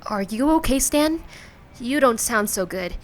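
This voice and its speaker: High, lilting, concerned young-sounding woman, acted out. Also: animated, unhurried. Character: helpful, concerned female acquaintance. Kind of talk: scripted comic dub acting. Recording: faint noisy ambience.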